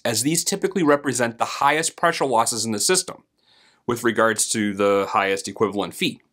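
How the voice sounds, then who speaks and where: This is a man in his thirties delivering a prepared instructional lesson while seated at a desk in a home office. His voice sits in a mid range, and he keeps a steady, deliberate pace throughout. He speaks straight to the camera as a trainer would. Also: medium pitch. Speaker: host and HVAC trainer. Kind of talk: scripted instructional explainer.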